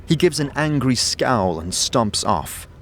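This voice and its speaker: A man's voice: mid-range voice, big pitch swings, slow pace, a little hiss or hum. A man reading scripted second-person fantasy narration as the storyteller of an audio drama. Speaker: narrator and protagonist's voice.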